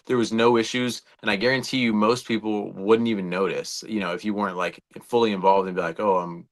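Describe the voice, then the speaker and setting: Lower-pitched American man in casual discussion. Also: fairly even intonation, fairly quick. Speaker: show co-host. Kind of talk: casual opinionated chat.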